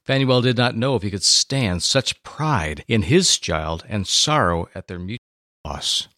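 Bright, very animated male dramatic narrator. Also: on the low side, a little slow, no room sound, studio quality.

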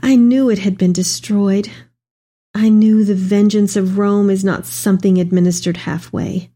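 A woman's voice: mid-range voice, fairly even intonation, a little slow, warm tone, lightly acted. A woman playing an elderly, devout mother in a dramatic reading of letters to her son, with tender, heartfelt delivery. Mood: grieving, shaken. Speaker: dramatic reader. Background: music.

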